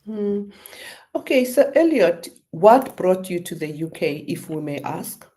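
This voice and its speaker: A woman's voice: lowish voice, sing-song, unhurried, mellow. A woman hosting a radio interview show, talking effusively with many fillers.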